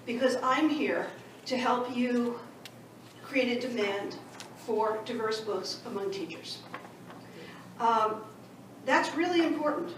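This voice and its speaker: Woman panelist with a New York accent, higher voice, recorded from far back in an echoey conference hall. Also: slow pace, slight background noise. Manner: conversational, self-deprecating asides. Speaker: panelist, education director.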